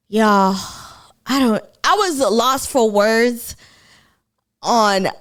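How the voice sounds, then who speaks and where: A woman's voice: high-pitched, fairly bright tone. A woman from Kenya with a Kenyan accent, chatting casually to viewers on a live stream about a TV show. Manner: chatty, addresses viewers as y'all.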